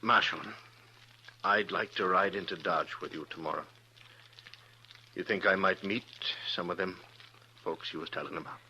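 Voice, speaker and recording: An actor playing a weary, lonesome drifter in an old radio western, speaking in a halting, folksy way. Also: male voice, low-pitched, bright, forceful tone, heavily acted. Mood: tentative, hopeful. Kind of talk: 1950s radio western acting. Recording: noisy old radio recording.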